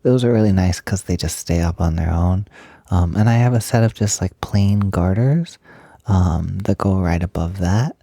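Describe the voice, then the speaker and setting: A gentle, enthusiastic young male roommate played by a man speaks in a low, husky, breathy voice, close and dry. He is soft and hesitant, giggly and reassuring, as in an intimate conversational audio roleplay. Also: moderate pace, dry recording. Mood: focused, chatty.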